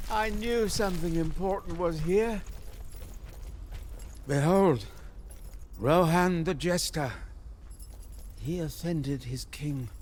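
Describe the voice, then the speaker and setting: An actor playing an absent-minded undead guide and keeper of lore in a video game, speaking theatrically with hesitant rambling. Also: male voice, on the high side, a little hiss or hum. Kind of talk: video game character acting.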